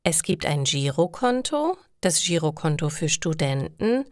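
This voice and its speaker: A woman voicing a polite, helpful female bank clerk in slow, formal storybook dialogue. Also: low voice, very animated, no room sound, clean studio sound.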